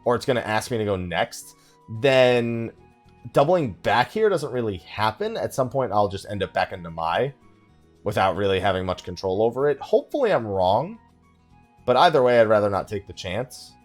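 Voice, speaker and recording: A man giving casual let's play commentary, rambling and thinking out loud with mild exasperation. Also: fairly low pitch, very animated, normal speed. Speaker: gaming video host. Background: game music.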